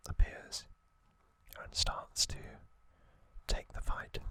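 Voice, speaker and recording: A man speaking in a breathy, unvoiced whisper, telling trivia in a soothing, halting ASMR style. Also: bright, forceful tone, no room sound. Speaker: ASMR creator, host.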